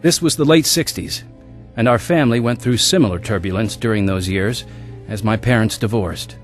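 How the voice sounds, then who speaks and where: A man's voice: lowish voice, normal speed, faint music behind, close to an everyday voice. An actor narrating and playing a young man looking back on his wild youth in a radio drama.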